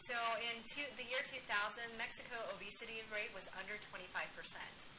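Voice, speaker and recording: A woman giving an upbeat, measured presentation, pausing after each point for an interpreter, seated on a studio set. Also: fairly high pitch, background noise. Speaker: wellness product director presenting. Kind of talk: scripted presentation, paused for an interpreter.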